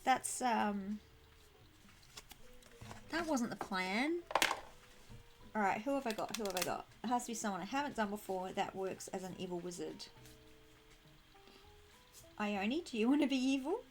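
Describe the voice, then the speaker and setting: A woman with a slightly croaky throat chatting casually and bubbly to viewers while live streaming a game, affectionate and quick to giggle. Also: mid-range voice, expressive. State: croaky throat, needs clearing. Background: music.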